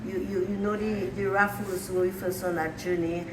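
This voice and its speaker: A woman's voice: fairly low pitch, level tone, moderate pace. Hesitant woman, echoey room. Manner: hesitant, repeats words. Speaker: interview guest, marriage encounter presenter. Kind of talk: casual interview answers. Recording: echoey room with clear background noise.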